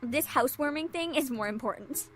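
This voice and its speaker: A woman voicing a blunt, excitable young female friend in an anime-style visual novel game. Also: high-pitched, fairly quick. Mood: blunt, eager. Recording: game music and jingles behind.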